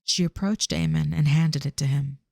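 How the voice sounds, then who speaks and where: A man's voice: on the high side, steady tone, moderate pace, gravelly, darkish tone, no room sound, studio quality. A man reading scripted third-person fantasy narration for an audio drama.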